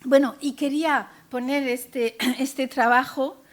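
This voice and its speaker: A woman opening a conference talk, hesitant and full of pauses. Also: high voice, steady tone. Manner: hesitant, many fillers. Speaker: panel speaker.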